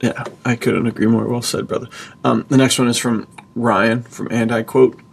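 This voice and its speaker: A man's voice: medium pitch, little pitch movement, moderate pace, vocal fry, a little hiss or hum. A man co-hosting a podcast, talking casually with an enthusiastic, slangy, buddy-like manner. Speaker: podcast co-host and writer.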